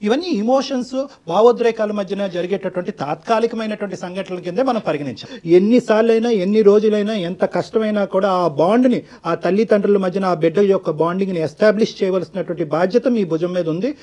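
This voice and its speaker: A man's voice: high voice, fast talker, hardly any pauses, warm tone. A man giving earnest, emphatic counselling advice at length on a talk show, mixing in English phrases. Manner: emphatic, long-winded, mixes in English phrases.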